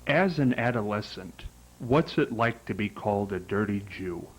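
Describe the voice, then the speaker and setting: A man from Ohio hosting his own talk show, introducing a guest and asking formal, measured questions. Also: fairly low pitch, expressive, low-quality recording. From Ohio, USA. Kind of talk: interviewing a guest.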